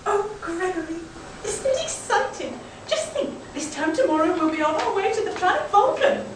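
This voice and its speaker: A woman's voice: extremely high pitch, lively intonation, normal speed. A woman playing an eager, condescending Victorian professor's daughter in a live comic radio play. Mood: excited. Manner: condescending, exclamatory. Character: eager, haughty Victorian professor's daughter, confident in nonsense science. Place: live convention performance in an echoey room. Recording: roomy echoing hall, distant mic, faint noise.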